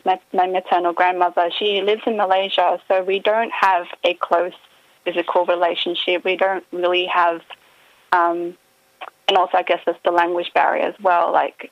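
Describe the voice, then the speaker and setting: Gravelly low-voiced woman on a dull line. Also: no echo. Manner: thoughtful, hedging, often trails off. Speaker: author guest. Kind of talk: reflective radio interview answers.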